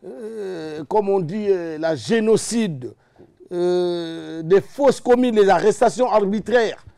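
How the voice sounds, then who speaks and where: Guy from liège rambling with lots of ums, voice sits a bit high. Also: slow pace, gravelly, dark tone, smooth. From Liège, Belgium. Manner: rambling, hesitant with many fillers and restarts. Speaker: guest. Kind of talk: casual interview chat.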